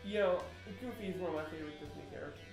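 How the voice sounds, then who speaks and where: A man's voice: on the high side, creaky. Guy with a lisp rambling on a podcast, echoey room, faint music under it. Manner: rambling, jokey, quick tangents. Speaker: podcast co-host. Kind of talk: casual chat.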